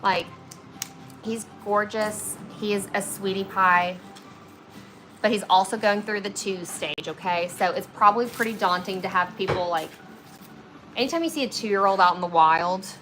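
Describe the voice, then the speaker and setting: A chatty young woman in her twenties hosts a livestream as she works, and her voice has a bright, clear edge. She talks loosely and fills her speech with "like" and "okay?" checks. Soft music plays faintly behind her. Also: mid-range voice, moderate pace, poor audio. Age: 20s. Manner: chatty, filler-heavy, often checks in with "okay?". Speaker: flower preservation artist and livestream host. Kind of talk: casual livestream chat while working, reading viewer comments.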